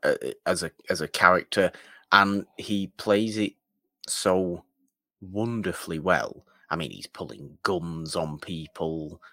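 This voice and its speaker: A man co-hosting a film podcast, chatting casually in a halting, rambling way with emphatic repetition. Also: low-pitched, fairly bright tone, dry recording, studio quality.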